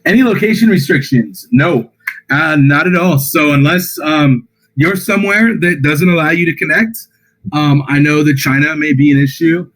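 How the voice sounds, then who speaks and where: A tired man with a slightly raspy voice, reading out community questions on a voice call and answering them casually with plenty of fillers. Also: a little high, normal speed, fairly bright tone, rough recording. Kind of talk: casual Q&A on a voice chat.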